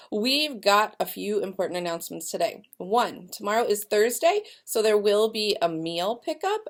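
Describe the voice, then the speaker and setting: A woman giving school announcements and reading a picture book aloud to young children, speaking directly to them in an expressive, deliberate way. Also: mid-range voice. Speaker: elementary school staff member giving announcements and read-alouds.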